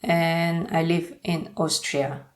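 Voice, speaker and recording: A woman's voice: low voice, level tone, slow. A young woman with a Korean accent, talking to camera in a personal vlog with careful, hesitant delivery. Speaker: YouTuber.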